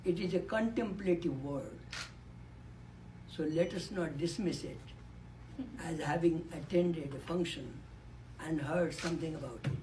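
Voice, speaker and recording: A man's voice: a little high, unhurried, darkish tone, a little hiss or hum, low-quality recording. A man, giving a reflective speech on a conference panel into a microphone and repeating key words for emphasis. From Nepal. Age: 80s. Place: conference room. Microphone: handheld or podium microphone.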